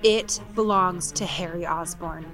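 Lady as a cool calm cat burglar, lowish voice, faint music behind. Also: measured pace. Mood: cool, defiant. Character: cool, self-possessed female cat burglar. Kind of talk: dramatic script table read.

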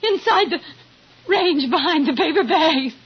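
A woman's voice: sits high, lively intonation, unhurried. An actress playing an anguished, pleading wife and mother in an emotional 1940s radio melodrama. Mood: desperate. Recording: muffled, hissy old radio recording.

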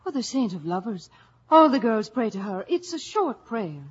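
Breathy young Irish village girl. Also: fairly high pitch, very animated, normal speed. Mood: dreamy, romantic. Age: young adult. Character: dreamy, eager young Irish village daughter. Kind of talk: 1950s radio drama acting. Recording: muffled old radio recording.

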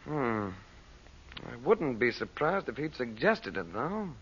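An actor playing a calm, authoritative magician uncle in an old-time radio drama. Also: male voice, medium pitch, slow pace, full character voice. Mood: grave, insistent. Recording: dull, husky old radio recording.

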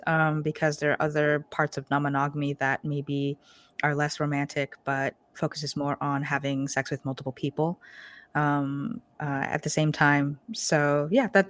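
A young woman from Portland talks through her answers in a loose, chatty way, full of fillers and little laughs. Her voice sits low, and the sound is dry and close, with no room around it. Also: no room sound. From Portland, Oregon, US. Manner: chatty, many ums and likes, laughs easily. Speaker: guest, social media creator. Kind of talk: casual interview answers.

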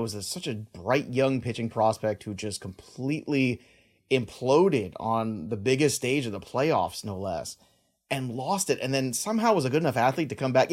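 A man hosting a sports podcast, talking in a casual, reflective way with lots of filler words. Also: mid-range voice. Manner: reflective, rambling with many "you know" fillers. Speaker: podcast host.

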